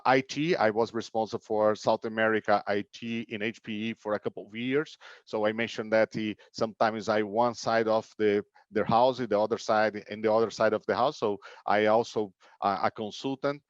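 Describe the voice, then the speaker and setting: A man's voice: lowish voice, no room sound. A man in his fifties from Brazil with a Brazilian Portuguese accent, giving an online business keynote with many fillers and "okay?" checks. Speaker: keynote speaker. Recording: dull lo-fi online call audio.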